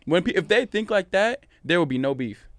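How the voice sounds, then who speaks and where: A man in his twenties from Washington State, a podcast guest talking in a relaxed, easygoing way. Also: high voice, a little fast, no room sound, studio quality. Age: 20s. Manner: relaxed, easygoing, many fillers. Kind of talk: casual podcast chat.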